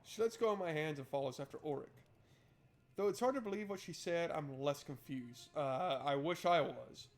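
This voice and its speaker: A man's voice: fairly high pitch, normal speed. A man doing a playful, wry let's play, switching between reading game text aloud and joking commentary. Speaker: gaming streamer.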